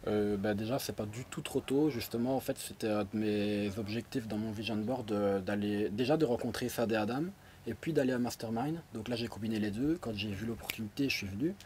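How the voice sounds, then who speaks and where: A man in his twenties from Belgium with a Liège accent, answering interview questions hesitantly with many pauses and fillers. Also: lowish voice, darkish tone, slight background noise. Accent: Liège Belgian French. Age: 20s. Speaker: interview guest. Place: outdoors by a pool.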